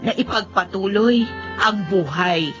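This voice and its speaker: A woman's voice: mid-range voice, measured pace, dull audio, over music, close to an everyday voice. A woman playing an earnest, wounded young Filipino daughter who tells her life story in an emotional drama. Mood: reflective, earnest. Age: young adult. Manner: heartfelt, earnest. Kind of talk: emotional radio-style drama narration and dialogue.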